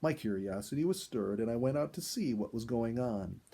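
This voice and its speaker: A man reading a children's story aloud as an expressive storyteller in scripted narration. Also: mid-range voice, moderate pace, vocal fry.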